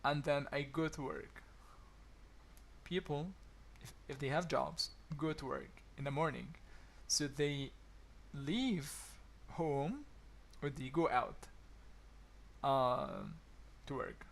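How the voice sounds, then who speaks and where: A man's voice: on the high side, lively intonation, slow, gravelly, pauses often. A man from Egypt with an accent between Egyptian and American, chatting casually to viewers about daily routines, with many restarts and fillers. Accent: Egyptian-influenced English, deliberately between Egyptian and American. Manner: hesitant, many restarts and ums, chuckles often. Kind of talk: casual teaching talk to viewers. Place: home apartment.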